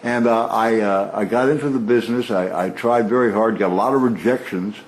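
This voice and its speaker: A man in his sixties from the Washington DC area, a radio veteran recalling his career at an easy, storytelling pace. His voice sits low. He trips over his own starts now and then, and a faint hiss runs underneath. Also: brisk, poor audio. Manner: warm reminiscing, frequent restarts and soft laughs. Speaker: interview guest, veteran radio broadcaster. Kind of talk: relaxed storytelling interview.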